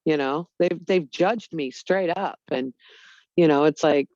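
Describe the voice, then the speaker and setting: A woman's voice: deep voice, unhurried, a little mellow, no room sound, lo-fi sound. A woman talking earnestly in a casual group chat, rambling with lots of fillers. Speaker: Bible study group member.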